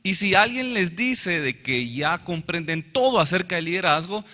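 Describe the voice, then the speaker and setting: A man interpreting a Bible lecture into Spanish, following the speaker phrase by phrase in a brisk, fluent way. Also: high-pitched, expressive, sharp tone. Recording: reverberant hall.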